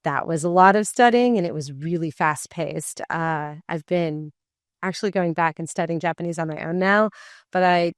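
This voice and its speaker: A woman's voice: fairly low pitch, moderate pace, clear, resonant tone, dry recording, clean studio sound. A young woman in her twenties, a podcast guest talking casually, with a lot of "like" fillers and rambling sentences. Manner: rambling, many fillers, acts out voices in anecdotes. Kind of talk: casual conversational interview.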